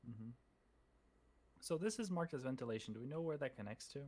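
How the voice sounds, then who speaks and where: A man at a tabletop game talks at an ordinary middle pitch. He thinks aloud in halting bursts, stopping and restarting as he plans. His tone stays casual. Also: expressive, a little mellow. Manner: halting, full of fillers and restarts while planning. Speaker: player.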